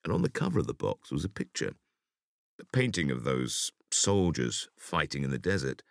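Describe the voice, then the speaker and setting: A man reading a conversational essay for an audiobook in a deliberate, expressive storytelling manner. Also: rumbling low, gravelly, dry recording, clean studio sound. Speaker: audiobook reader.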